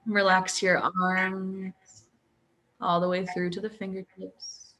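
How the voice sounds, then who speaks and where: A woman guiding a sleep hypnosis session as a hypnotherapist, calm, with drawn-out pauses and audible breaths. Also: medium pitch, low-quality recording. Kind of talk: guided sleep hypnosis, soothing relaxation script.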